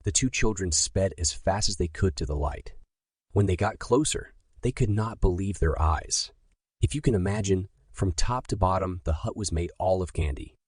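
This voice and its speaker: A man narrating a children's bedtime story in a deliberate, dramatic storytelling style. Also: sits low, darkish tone, husky, no echo, studio quality.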